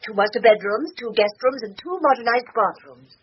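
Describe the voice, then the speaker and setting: An actress playing an assured, exacting middle-aged English wife in a radio drama, crisp and insistent, on an old recording. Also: female voice, on the high side, normal speed, lightly acted. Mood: wondering, certain. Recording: dull, faintly noisy old radio recording.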